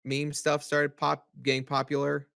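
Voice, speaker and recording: Halting higher-voiced man chatting. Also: monotone, creaky, fairly bright tone, no echo, clean studio sound. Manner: hesitant, halting, repeats "like". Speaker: podcast panelist. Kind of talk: casual chat.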